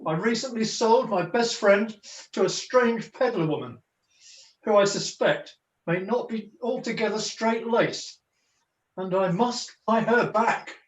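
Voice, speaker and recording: Guy doing a theatrical weary jester, panto, high voice, slow flowery delivery, echoey room on a video call. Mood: comic, courtly. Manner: theatrical, flowery, mock-archaic, declamatory. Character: melodramatic weary jester, a strolling player on a quest. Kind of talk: comic pantomime dialogue.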